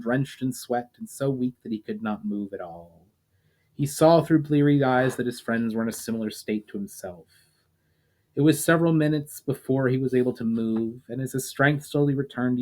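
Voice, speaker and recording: Male narrator, mid-pitched voice, dramatic fantasy audiobook reading, measured phrases, falling line endings. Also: darkish tone. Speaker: author reading own fiction aloud, channel host.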